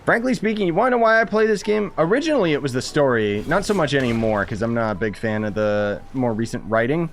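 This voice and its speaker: Male game streamer: mid-range, opinionated, loose conversational commentary with soft game music underneath. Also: medium pitch, quick pace, few pauses. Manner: opinionated, rambling, slangy. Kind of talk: casual live game commentary. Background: faint game music.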